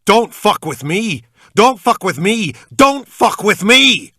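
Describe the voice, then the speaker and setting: A man's voice: high voice, sing-song, slow, bright, forceful tone, breathy edge, no room sound, studio quality, voice pushed up, exaggerated voice. A man voicing a drunk, enraged male gunman who screams threats, out of control. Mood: screaming rage.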